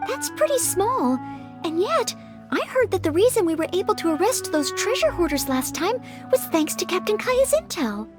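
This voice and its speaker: A woman's voice: extremely high pitch, big pitch swings, normal speed, music in the background. A woman voicing a polite, earnest, self-doubting teenage maid-knight girl in a video game, speaking formally with some hesitation. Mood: thoughtful, earnest. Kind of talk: English video game voice acting.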